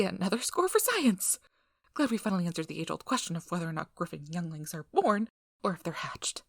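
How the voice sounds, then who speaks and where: Woman doing a nervous nerdy researcher girl for asmr roleplay, swoopy bright voice, rambles and apologizes, super close dry sound. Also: on the low side, creaky, dry recording, exaggerated voice. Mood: nerdy, hopeful. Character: nervous, eager, rambling young female monster researcher. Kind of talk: ASMR roleplay audio drama.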